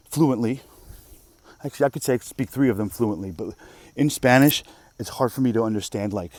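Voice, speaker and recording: Mellow-voiced man ranting, Russian native speaker. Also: on the low side, no echo. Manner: emphatic, profane, rambling. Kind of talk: casual rant monologue.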